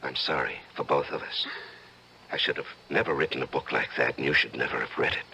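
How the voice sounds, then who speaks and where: An actor playing a brooding, tormented writer and husband who may be a killer, in theatrical old-time radio drama style. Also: male voice, extremely low pitch, steady tone, a little fast, gravelly, sharp tone, slight background noise, full character voice, rough recording. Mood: resigned, sorrowful.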